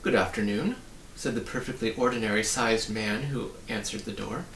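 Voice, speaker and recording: A man reading a children's story aloud as an animated narrator. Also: lowish voice, normal speed, vocal fry. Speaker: audiobook reader. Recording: roomy, distant mic, faint noise.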